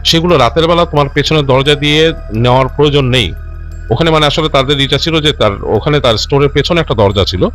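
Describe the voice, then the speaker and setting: A man hosting and telling a listener's ghost story in an unscripted, conversational way, halting and rephrasing as he goes and mixing in English words. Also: on the high side, fairly bright tone, faint music behind. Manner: halting, self-correcting, repeats and rephrases phrases as he goes; mixes English words into his speech. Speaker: host narrating listener-submitted ghost stories.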